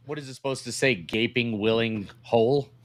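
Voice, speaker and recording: A man's voice: mid-range voice, moderate pace. A man co-hosting a podcast in casual, bantering chat, crude and joking, often questioning his partner. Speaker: podcast co-host.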